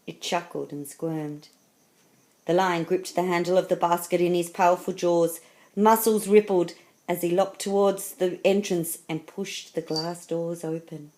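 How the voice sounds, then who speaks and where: Woman narrating a kids picture book, kinda low voice, expressive and deliberate. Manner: dramatic, deliberate phrasing. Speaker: storybook reader. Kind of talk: expressive scripted children's story narration.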